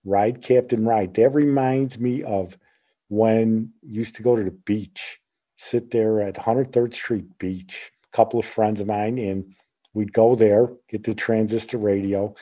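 A man's voice: lowish voice, fairly even intonation, a little slow, dark tone. A man in his sixties hosting a podcast, chatting casually and reminiscing in a rambling, nostalgic way. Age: 60s. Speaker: podcast host.

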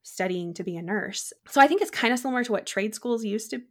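A woman's voice: mid-range voice, expressive, fast talker, a bit bright. A woman guest from the Czech Republic, chatting casually in a podcast interview, laughing often and trailing off mid-sentence.

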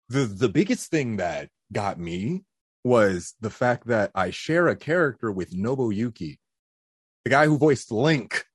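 A man's voice: medium pitch, slightly rough, no room sound, clean studio sound. A young man, a voice actor, chatting casually in an interview and laughing often. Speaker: voice actor guest.

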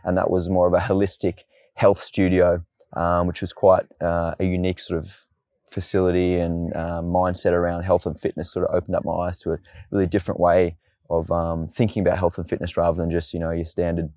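A relaxed Australian man in his late twenties, telling his story as an interview guest. His voice is very low and flat, with little rise or fall. The recording is dry and close. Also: brisk, dry recording. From Tasmania, Australia. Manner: relaxed, storytelling, says "mate". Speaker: guest, fitness coach. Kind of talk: casual interview chat.

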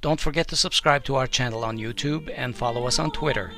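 A man's voice: mid-range voice, fairly quick. A man hosting a podcast, speaking directly to listeners in a sign-off narration style. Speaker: podcast host. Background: music.

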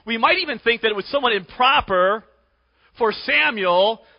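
A pastor preaching a sermon to his congregation while losing his voice, often clearing his throat. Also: extremely high pitch, animated, normal speed. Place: church sanctuary. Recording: dull recording in a reverberant church.

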